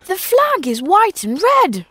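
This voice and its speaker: A woman voicing a cheerful young boy at summer camp in a scripted textbook dialogue, with a clear, careful, sing-song delivery. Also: extremely high pitch, wildly swooping pitch, slow, bright, forceful tone, no echo, heavily acted. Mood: bright.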